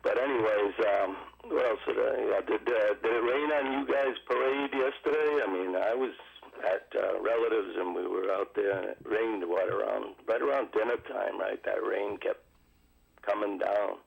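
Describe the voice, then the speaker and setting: Man calling in, froggy and gravelly, low voice, muffled phone line. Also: moderate pace. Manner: rambling with many fillers. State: has a cold, froggy. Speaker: caller. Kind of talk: casual call-in chat.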